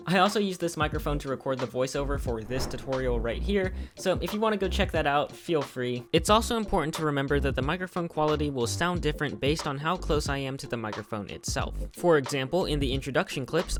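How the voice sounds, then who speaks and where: A young man narrating a scripted product review voiceover, speaking close to a desk microphone in a clear, explanatory way. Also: mid-range voice. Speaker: YouTube hobbyist reviewer. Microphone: close USB condenser microphone.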